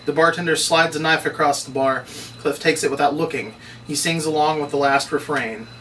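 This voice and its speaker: A man's voice: a little high, steady tone, normal speed, sharp tone. A man reading scripted screenplay narration and stage directions at a table read. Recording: distant mic, faint background noise.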